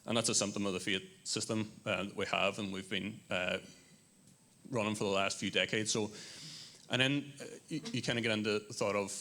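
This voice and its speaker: Male panelist, low pitch, sharp bright voice, explanatory. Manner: explanatory, leans on filler phrases. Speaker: conference panelist. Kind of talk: panel discussion. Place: conference panel stage.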